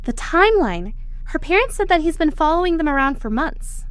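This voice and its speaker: A woman's voice: sits high, big pitch swings, a little fast, no room sound. A performer playing an observant twelve-year-old superfan girl in an audio drama, earnestly chatting through her reasoning. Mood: intent, thoughtful. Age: child, twelve.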